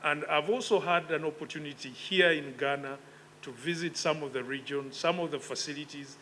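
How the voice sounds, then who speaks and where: A man in his fifties speaks from a conference podium with a slightly raised pitch, unhurried and reflective, lifting his voice to stress key points. His comes through clearly over a faint background hum. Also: bright, forceful tone. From Uganda. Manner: measured, reflective, with emphatic rises. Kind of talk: conference speech. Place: conference hall.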